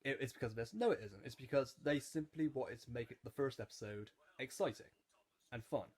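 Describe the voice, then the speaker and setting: A young man around twenty chats casually as he reviews a show. His voice is mid-pitched and a little rough around the edges. He rambles, restarting and repeating his phrases as he goes. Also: fairly quick. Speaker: YouTube reviewer. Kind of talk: casual rewatch commentary on a children's show.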